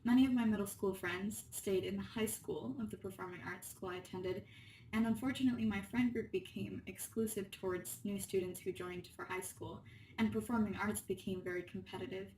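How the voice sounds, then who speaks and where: A woman's voice: medium pitch, darkish tone. A woman from Spain reading a scripted personal narration as a student presenter. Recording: roomy, distant mic, faint room noise.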